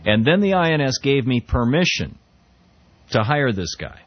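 Brief: middle-aged man hosting talk radio, lively pitch, conversational with restarts, dull dry sound. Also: normal speed. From United States. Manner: conversational, with restarts and quoted readings. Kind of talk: political commentary monologue.

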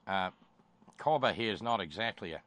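Picture a slangy, chatty Australian bloke passing along a tip, as a man does the accent badly. The voice sits low. The accent slips in and out. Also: expressive, moderate pace, fairly bright tone. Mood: gossipy. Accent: Australian, put on and admittedly poor. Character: Australian man tipping off an agent.

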